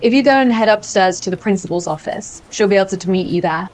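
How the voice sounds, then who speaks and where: A woman plays a polite check-in desk clerk at a school. Her pitch is average, and she speaks helpfully in a scripted, welcoming way for an animated drama. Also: fast talker, natural voice, rough recording.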